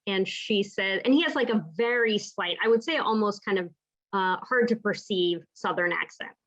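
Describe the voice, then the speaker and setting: A woman's voice: mid-range voice, moderate pace, a bit bright, muffled recording. A woman from the United States who works as a palliative care clinician, telling a story from practice in a thoughtful, conversational way and often checking in with "right?". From United States (Pacific Northwest). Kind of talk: reflective storytelling in a lecture.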